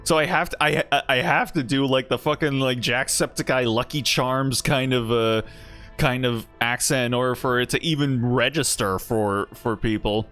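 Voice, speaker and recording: A man's voice: mid-range voice, fairly even intonation, normal speed, a bit bright, soft background music. Rambling male game streamer. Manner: rambling and self-interrupting, with frequent laughs. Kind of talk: casual game stream chat, reading game text aloud.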